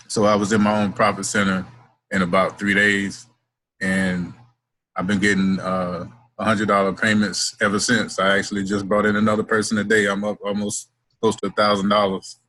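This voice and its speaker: A man on a video call giving an earnest testimonial, a little halting and full of fillers. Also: on the low side, flat delivery, pushed and bright, no echo, rough recording. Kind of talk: casual testimonial.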